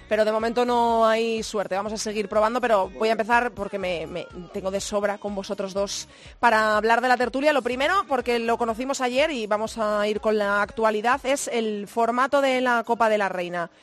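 A woman's voice: on the high side, a little fast. Spanish woman hosting casual sports radio. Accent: Spain. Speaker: radio show host.

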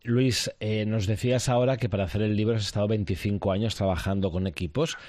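A man's voice: on the low side, fairly even intonation, quick pace, a little mellow, dry recording. A man interviewing a guest on radio, asking short questions and giving frequent brief acknowledgements. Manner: brief prompts, frequent backchannel.

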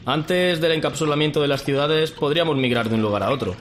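A man playing a curious, anxious newcomer in a radio drama. His speech is quick, at a middling pitch, over faint ambient noise. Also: natural voice. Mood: dejected. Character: anxious, curious male newcomer on first day of work. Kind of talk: radio drama acting, conversational.

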